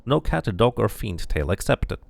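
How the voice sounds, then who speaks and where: Man doing an animated, officious notice reader. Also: lowish voice, moderate pace, creaky, no echo, full character voice. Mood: officious, declamatory.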